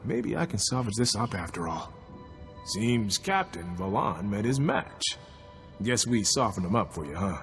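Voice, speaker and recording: An actor playing a gruff, weary and practical military commander in a video game, brisk and blunt. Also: male voice, fairly low pitch, sing-song, fairly bright tone, in a large hall, soft background music. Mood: relieved. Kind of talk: video game cutscene acting.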